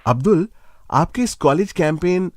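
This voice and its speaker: A man's voice: high-pitched, lively intonation, unhurried, darkish tone, no echo, studio quality. A man presenting a radio magazine show in a playful, conversational way, addressing listeners directly.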